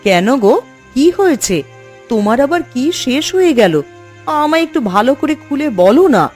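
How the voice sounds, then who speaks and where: A woman as a high-voiced, sensible village housewife. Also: big pitch swings, moderate pace, darkish tone, soft background music. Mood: concerned. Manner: advising, reproachful. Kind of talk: Bengali cartoon story voice acting.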